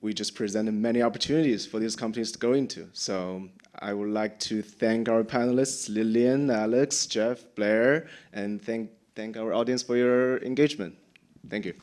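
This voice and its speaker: Formal moderator, Chinese native speaker. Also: male voice, on the low side, normal speed, darkish tone. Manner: formal, polite, with hesitant fillers. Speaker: panel moderator. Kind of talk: moderating a conference panel.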